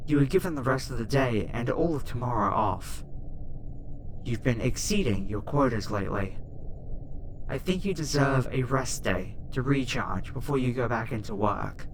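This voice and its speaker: A woman voicing a caring, calm spaceship AI assistant in an ASMR roleplay, speaking in a formal, measured way. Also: very deep voice. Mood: kindly. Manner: measured, formal, gently reassuring. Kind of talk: ASMR roleplay audio drama. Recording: background noise, ambience.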